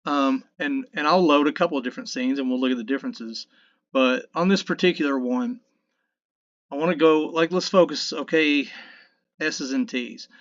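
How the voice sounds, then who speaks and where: A man in his fifties with a low mid voice, casually talking through a mixing tutorial in a rambling, self-correcting, folksy way. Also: normal speed, dull audio. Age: 50s. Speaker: sound engineer teaching mixing. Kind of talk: casual screen-recorded tutorial. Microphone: dynamic vocal mic.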